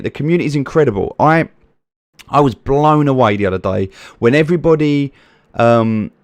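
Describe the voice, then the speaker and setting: A man's voice: medium pitch, darkish tone, no echo. British male streamer, soothing voice, earnest and preachy, dry close sound. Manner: earnest, preachy, repeats points for emphasis; reads viewer names from chat and answers them. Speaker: livestream host. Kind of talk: casual livestream chat.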